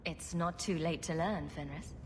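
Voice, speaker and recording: An actress playing a wry, loyal rogue heroine in a video game, with a dry, composed delivery. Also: female voice, lowish voice, normal speed, background noise, natural voice. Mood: kind. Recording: music behind.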